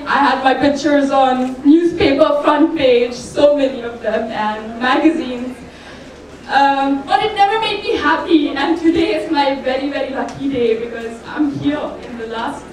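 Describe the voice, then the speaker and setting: High-voiced young woman in a very echoey hall. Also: darkish tone. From Qatar. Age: 20s. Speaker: beauty pageant contestant. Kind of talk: self-introduction on stage. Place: large indoor stage before an audience. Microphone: handheld microphone through speakers, heard from afar. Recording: echoey hall PA, distant pickup.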